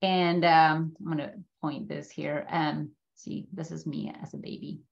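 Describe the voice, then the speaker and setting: Creaky-voiced middle-aged woman presenting. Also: deep voice, flat delivery, unhurried, muffled recording. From Mexico City, Mexico. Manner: conversational, checks in often with "right?". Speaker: guest speaker at a business school. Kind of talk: informal slide presentation, personal story. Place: indoor room, on a video call. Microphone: video call microphone.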